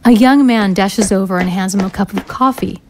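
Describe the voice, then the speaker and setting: Mid-pitched woman narrating scene directions. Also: moderate pace, a little mellow, slight background noise. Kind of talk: scripted narration.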